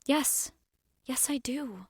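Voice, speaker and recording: High-voiced, dramatic rich young heiress. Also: female voice, takes it slowly, darkish tone, husky, dry recording, clean studio sound, exaggerated voice. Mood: troubled, hesitant. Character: young rich society daughter, flustered, lovesick and defiant. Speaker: actor. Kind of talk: 1920s period audio drama acting.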